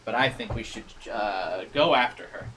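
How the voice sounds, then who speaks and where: A man's voice: fairly low pitch, normal speed, pushed and bright, far from the mic, a little hiss or hum. A man streaming a game, switching between casual commentary and reading the narration aloud. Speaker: streamer playing a visual novel.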